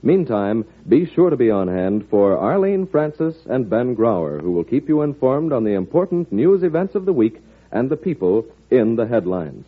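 A man's voice: medium pitch, sing-song, normal speed, husky. An American man announcing promotions on 1940s network radio, polished, formal and emphatic. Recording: tinny vintage radio broadcast.

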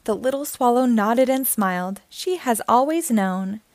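A woman hosting storytime, reading a children's picture book aloud in an expressive, deliberate storytelling manner. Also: a little high, no echo. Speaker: storytime host and reader.

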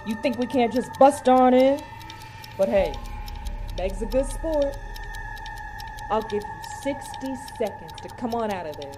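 A woman's voice: on the high side, expressive, unhurried, long pauses, dark tone, slight background noise, rough recording. A woman playing a tough female raider gang leader who taunts and threatens. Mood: taunting. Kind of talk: audio drama acting.

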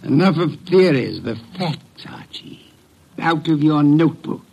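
An actor playing a pompous, fussy, irritable detective genius in a classic radio drama, speaking with formal, disdainful diction. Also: male voice, fairly high pitch, expressive, a little slow, vocal fry, darkish tone, slightly rough, heavily acted. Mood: imperious.

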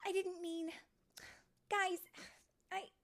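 A woman's voice: very high voice, flat delivery, drawn-out words, voice pushed up, full character voice. A woman voicing an eager, headstrong young female fairy from a storybook, emphatic and easily frustrated. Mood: flustered. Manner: emphatic, impassioned.

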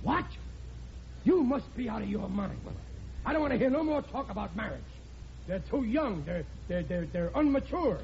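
An actor playing a blustering, stubborn middle-aged working-class American father in old radio sitcom style, with rough diction and emphatic delivery. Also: high voice, animated, normal speed, a little mellow. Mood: indignant. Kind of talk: 1940s radio sitcom acting. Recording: muffled, noisy old radio recording.

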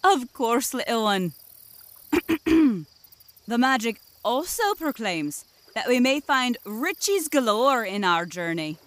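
Lady as a formal magical water elder, highish lilting voice that moves around a lot, fantasy audio drama. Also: sing-song, takes it slowly. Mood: earnest. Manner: formal, grandly archaic diction. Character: stately female elder of magical water folk, formal and helpful.

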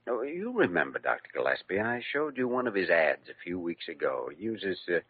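An actor playing a calm, reassuring young hospital doctor in 1940s radio acting. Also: male voice, low-pitched, fairly quick, slightly rough, dry recording, exaggerated voice. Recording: tinny old radio recording.

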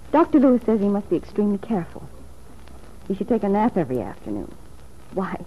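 An actress playing a troubled, wavering wife who plots with her lover in a radio drama, earnest and hesitant. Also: mid-range voice. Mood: concerned. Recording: tinny, noisy old radio recording.

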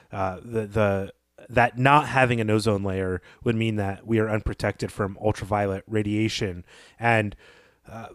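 A man co-hosting a podcast, giving reflective, measured literary analysis in a conversational style. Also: fairly low pitch, no room sound, studio quality. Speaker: podcast co-host.

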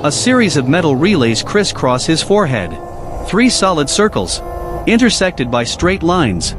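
A man's voice: a little high, very animated, normal speed, music in the background. A man narrating a scripted audio drama in a dramatic storytelling style.

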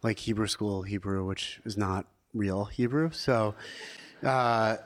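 A middle-aged American man speaks in a fairly low register. He tells personal stories in a casual, unpolished, self-deprecating way, like a guest being interviewed. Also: takes it slowly, a little hiss or hum. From Pittsburgh, United States, partly Israel. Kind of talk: casual conversational storytelling.

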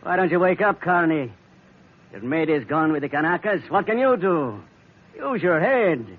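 A man's voice: a little high, lively intonation, moderate pace. An actor playing a ruthless male smuggler villain who speaks broken English in a taunting way. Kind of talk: 1940s radio acting. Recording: muffled old radio broadcast, hiss.

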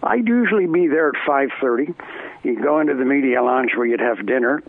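A man's voice: mid-range voice, lively intonation, a little fast, no room sound. An older man from Canada, a former arena announcer, chatting as an interview guest, rambling with many restarts and fillers. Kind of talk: casual interview chat. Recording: tinny phone line.

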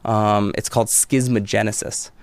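A man from Southern California, a podcast guest telling a story in casual conversation, with quick restarts and lots of "like" and "you know". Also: fairly low pitch, level tone, smooth, no room sound.

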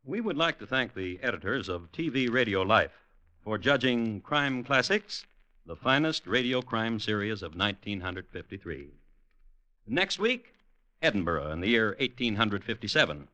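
A dry, animated-pitched crime storyteller. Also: male voice, normal speed, no echo, dull audio. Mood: dryly formal. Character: dry, formal male true-crime storyteller and host. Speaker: program host and narrator. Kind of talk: 1950s radio acting, scripted sign-off.

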